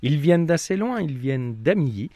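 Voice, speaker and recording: A man hosts a radio football show from a studio, leading the interview with frequent pauses and fillers. His pitch swings widely as he speaks. The sound is dry and close to the mic. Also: expressive, mellow, dry recording.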